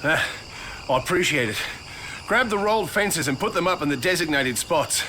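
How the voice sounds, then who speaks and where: A harried Australian camp defender in a zombie video game. His voice sits slightly high, blunt and colloquial, with audible ambience behind it. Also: lively intonation, normal speed, sharp tone. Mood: relieved, harried. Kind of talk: video game cutscene acting. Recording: game audio with clear ambient noise.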